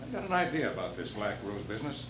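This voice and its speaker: An older man playing a composed, shrewd private detective in a radio play, reading in a measured, questioning way. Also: fairly low pitch, sing-song. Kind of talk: radio play read from script. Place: function room, live staged reading. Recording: roomy, distant mic, noisy humming tape.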